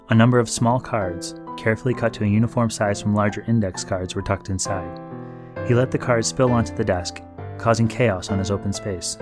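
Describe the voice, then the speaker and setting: A man with a very low voice narrates fiction from a script, as in an audiobook. Music with guitar and piano plays clearly behind his reading.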